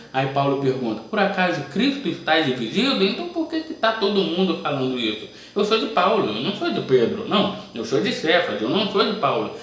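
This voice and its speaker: A man's voice: on the high side, fairly bright tone. A man reading Bible verses aloud and commenting on them informally, often adding "né?" as he goes. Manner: reads verses aloud, then comments with frequent "né?" asides. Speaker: preacher reading scripture. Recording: distant mic, very echoey room.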